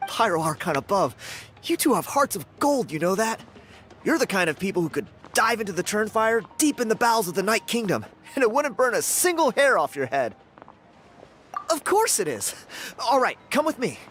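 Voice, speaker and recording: A cocky young dancer. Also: male voice, sits high, very animated, moderate pace, a little hiss or hum. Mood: friendly eager. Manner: breezy, laughs often, boastful. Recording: game dialogue with soft music behind.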